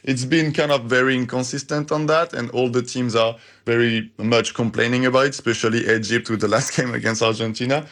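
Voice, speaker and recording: Earnest young man, slightly halting speech. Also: mid-range voice, little pitch movement, fairly bright tone. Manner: earnest, slightly halting, non-native phrasing. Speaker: football fan guest. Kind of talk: live radio interview.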